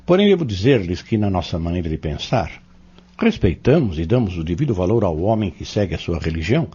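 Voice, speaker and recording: Male actor as earnest spiritual teacher, lively intonation, measured explanations, audio drama, dull muffled recording. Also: low voice, husky, heavily acted. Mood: earnest, instructive. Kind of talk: audio drama dialogue, didactic.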